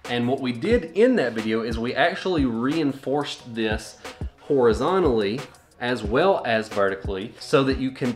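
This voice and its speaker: A young man hosting a home gym channel, explaining do-it-yourself equipment in a practical, matter-of-fact way in a garage gym. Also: medium pitch. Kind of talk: casual how-to explanation. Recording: echoey room with faint music.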